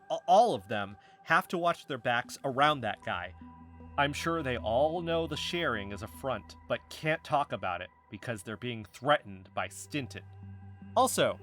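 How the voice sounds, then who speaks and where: A man's voice: medium pitch, a bit bright. Earnest male amateur investigator over music. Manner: earnest, insistent, defensive. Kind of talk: naturalistic fiction podcast acting as recorded conversation.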